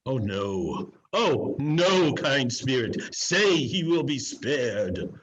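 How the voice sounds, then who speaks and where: A man playing a fearful, remorseful old miser, halting and theatrical, in a dramatic Christmas reading. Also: mid-range voice, sing-song, fairly bright tone, slightly rough, lo-fi sound, exaggerated voice. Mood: pleading, dismayed. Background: music.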